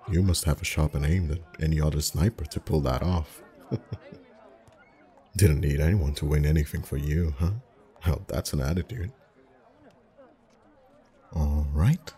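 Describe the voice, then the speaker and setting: A man playing a friendly, flirty festival face painter in an ASMR roleplay, chatting in an easygoing, gently teasing way. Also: very deep voice, full character voice. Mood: impressed, amused. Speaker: voice actor in an audio roleplay. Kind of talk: ASMR roleplay, one-sided intimate conversation.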